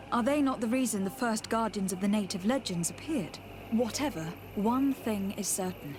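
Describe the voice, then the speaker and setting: A woman acting an earnest, diplomatic young envoy in scripted game dialogue, speaking in a measured, formal way. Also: mid-range voice, noisy background, lightly acted. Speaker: game protagonist. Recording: game music behind the voice.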